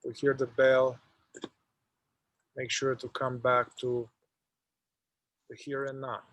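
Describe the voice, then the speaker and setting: A man leading a guided meditation, speaking in an unhurried, halting way with long pauses. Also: mid-range voice, monotone, fairly bright tone, no echo.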